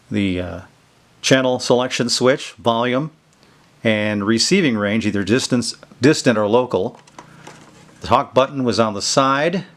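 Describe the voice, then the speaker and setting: Male video host, mid-range voice, informal and folksy, walking viewers through a product demo. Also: medium pitch. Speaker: video host showing vintage electronics. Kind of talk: casual product demonstration. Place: indoors in a basement.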